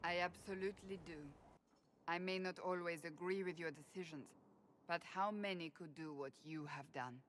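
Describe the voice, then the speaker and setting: An actress voicing a stern, devout female warrior in video game dialogue, proud and earnest, speaking in a low, firm, measured way. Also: steady tone, fairly bright tone, a little hiss or hum, natural voice.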